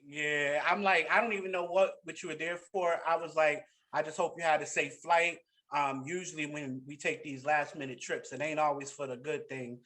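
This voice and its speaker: Host: man with a bright, slightly high voice, easygoing interviewer on a headset. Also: moderate pace, hardly any pauses. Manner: relaxed conversational questioning. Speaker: podcast host. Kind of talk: casual interview chat. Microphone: headset on video call.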